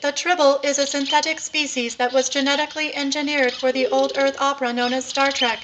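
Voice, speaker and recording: Woman doing a starship computer lady, high voice, formal n helpful, noisy recording with clicks. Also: little pitch movement, fairly quick, close to an everyday voice. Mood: informative. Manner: formal, precise, informative. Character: female ship's computer assistant, precise and helpful. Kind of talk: audio sci-fi comedy acting. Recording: clear background noise with clicks.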